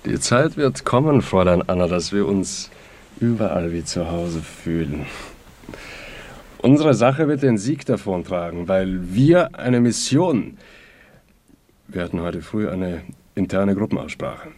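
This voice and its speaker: A man's voice: deep voice, very animated, a little slow, darkish tone, exaggerated voice. An actor playing a pompous, lecherous fascist druggist in a radio play, declaiming in slogans as he courts a young woman. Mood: self-important.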